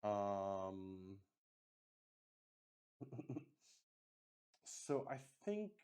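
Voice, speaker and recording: A man with a lowish, mellow voice improvises as game master of a solo tabletop roleplay. He takes his time, pausing often and working things out aloud as he goes. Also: very animated, clear, resonant tone. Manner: hesitant, pausing, thinking aloud.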